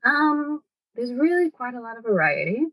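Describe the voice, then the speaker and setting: A woman's voice: fairly high pitch, very animated, normal speed, fairly bright tone, no room sound, low-quality recording. Dry, thoughtful woman explaining at length. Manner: thoughtful, hedging with "kind of" and "sort of". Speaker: podcast guest, language education organizer. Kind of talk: explanatory conversational interview answers.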